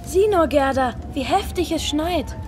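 Bold, curious little boy, fairy tale radio play, high-pitched and animated. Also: a little slow, slight background noise. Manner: eager, boyish.

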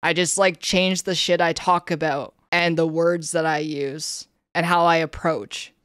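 A young Canadian woman on a casual livestream, reading questionnaire items aloud and answering in a flat, slightly monotone way, full of "like" and "um.". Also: on the low side, unhurried, no room sound, clean studio sound. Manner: flat, slightly monotone delivery with frequent fillers. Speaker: streamer. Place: indoor room, streaming setup.

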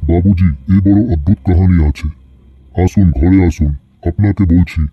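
A man playing a low-voiced old storytelling servant. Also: steady tone, moderate pace, slightly rough, dry recording, dull audio, faint music behind. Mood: grave, ominous. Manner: grave, storytelling, Hindi-mixed Bengali wording. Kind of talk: animated cartoon horror storytelling.

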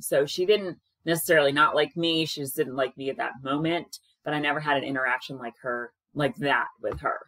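A woman in her thirties, a caregiver, talking frankly to camera in a loud, projecting, conversational way, recorded on a webcam in a small room. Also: no echo, poor audio. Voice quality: low-pitched voice that projects. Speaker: caregiver sharing experiences. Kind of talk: casual advice talk to camera. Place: small indoor room with plain wall.

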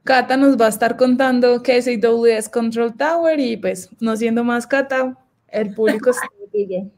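A woman's voice: a little high, moderate pace, a little mellow. A woman host introducing a guest speaker on a video call.

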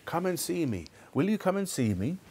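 Elderly reformed miser, giddy and eager, pitched up , voiced by a male narrator. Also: moderate pace, slightly rough, full character voice. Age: older. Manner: excited, eager, breathless warmth. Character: overjoyed elderly miser turned generous man.

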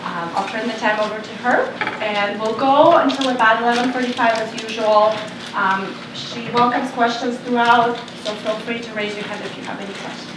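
A woman's voice: a little high, moderate pace, background noise. A woman hesitantly introducing a guest speaker in a large lecture hall, with many ums, recorded from far off. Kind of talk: formal introduction.

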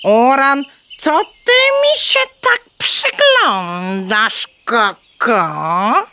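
An exasperated mother hen in a children's audio play, very high and sharp. She clucks and scolds, swooping dramatically up and down. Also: sing-song, very slow, heavily acted. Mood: agitated. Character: bossy, excitable mother hen, easily exasperated.

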